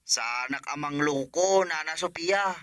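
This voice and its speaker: A man playing a cheeky stranger in a rural radio comedy. He is insistent and teasing, with a bright, forceful, slightly high voice recorded dry. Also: normal speed, dry recording, lightly acted. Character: pushy, cheeky male stranger making a phone call.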